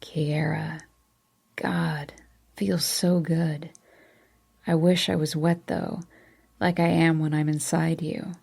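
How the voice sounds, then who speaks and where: A young man murmuring softly and closely, low and gravelly in tone, as a woman narrator reads him. He talks slowly and stays hushed throughout. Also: flat delivery, heavily acted. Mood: intimate. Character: young adult man, tender and low-voiced.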